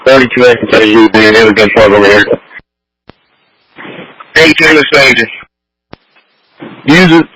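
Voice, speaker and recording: A man's voice: medium pitch, normal speed, pauses often, a bit bright. A man working as a firefighter, giving terse operational messages over a two-way radio. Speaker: firefighter on fire department radio. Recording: noisy fire radio scanner feed.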